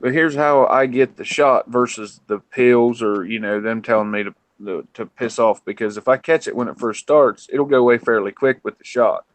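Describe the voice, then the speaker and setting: A man's voice: medium pitch, moderate pace, hardly any pauses, no room sound. A man with a light Southern twang hosts a loose late-night chat. He rambles through folksy stories with crude asides. His voice sits mid-range and sounds dry and close. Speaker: host.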